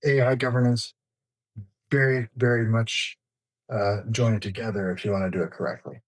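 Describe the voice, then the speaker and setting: A man's voice: mid-range voice, fairly even intonation, moderate pace, dry recording. Man explaining in a dry, close recording. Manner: informal, explanatory, listing points. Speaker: interview guest, information security executive. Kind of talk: conversational expert explanation.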